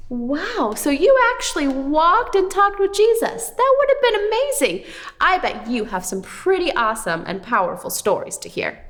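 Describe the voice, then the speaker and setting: A woman presents a children's puppet show in a high voice. Her pitch swoops widely, with exaggerated, expressive wonder aimed at kids. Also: moderate pace. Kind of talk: scripted children's show dialogue.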